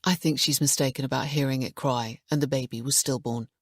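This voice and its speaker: A calm female detective, gentle and measured, speaking in a low, husky tone, as a woman reading the story puts her on. The sound is close and dry. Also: no room sound.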